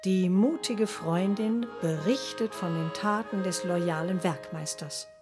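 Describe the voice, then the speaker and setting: A woman reading a scripted film synopsis in a measured, deliberate way for a radio play, with accordion music behind her. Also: on the low side, lightly acted. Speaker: narrator in a radio drama. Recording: loud music under the voice.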